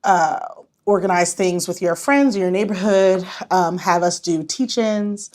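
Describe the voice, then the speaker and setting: A woman's voice: fairly low pitch, unhurried, darkish tone. A woman speaking as a podcast guest in informal, conversational interview chat. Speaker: podcast guest, nonprofit advocacy director.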